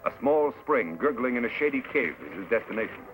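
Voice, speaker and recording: A man's voice: mid-range voice, animated, a little fast. A man narrating an old-time radio drama in a scripted, dramatic style. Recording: noisy old radio broadcast recording.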